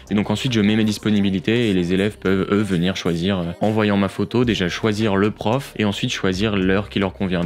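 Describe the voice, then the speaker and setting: A young Frenchman in his twenties talks straight to camera in a relaxed vlog, rattling along at a fast clip. His voice sits on the low side, and he keeps things chatty and animated with quick asides. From France. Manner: chatty, animated asides, jumps into higher voice for quoted bits. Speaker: vlogger. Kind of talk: casual vlog talk to camera.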